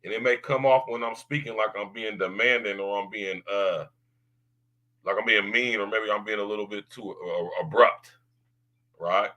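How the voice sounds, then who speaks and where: Male podcast host, deep baritone with a bright edge, loud drawling slang, keeps asking "right?". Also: normal speed, poor audio. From United States. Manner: loud, drawling slang, repeats tag questions. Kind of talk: casual podcast monologue.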